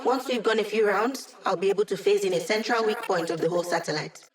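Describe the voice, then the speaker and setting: Woman playing young hacker woman, digital mind, medium pitch, casual, teasing, upbeat, video game character. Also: fast, slight background noise, close to an everyday voice. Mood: wry, upbeat.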